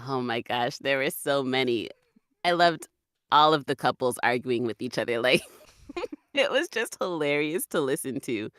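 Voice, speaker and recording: A woman's voice: extremely low pitch, very animated, normal speed, fairly bright tone, dry recording, studio quality. A woman voice actor chatting casually as a guest on a panel. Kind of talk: casual chat.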